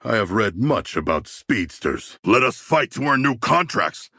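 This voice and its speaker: An actor voicing a hulking, proud humanoid shark warrior in a video game, with formal, stilted diction, earnest grandiose declarations and a gravelly, husky voice. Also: male voice, fairly low pitch, very animated, a little slow, pushed and bright, no echo, clean studio sound, full character voice. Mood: earnest, measured. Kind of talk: video game character dialogue.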